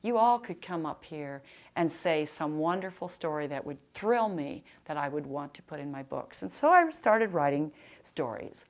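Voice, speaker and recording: An older American woman with a loud, projecting voice, giving an author's talk and reading in an emphatic storytelling manner. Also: low voice, sing-song, moderate pace. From Nebraska, United States. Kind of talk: public talk with anecdotes and book reading. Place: live talk to a library audience in a room.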